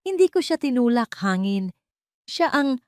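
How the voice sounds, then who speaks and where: A woman's voice: fairly high pitch, big pitch swings, measured pace, a little mellow, smooth, dry recording, studio quality, pitched above the performer's own voice. A Filipino woman voicing a timid, heartbroken pregnant wife in an audio drama, pleading and trembling. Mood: distressed.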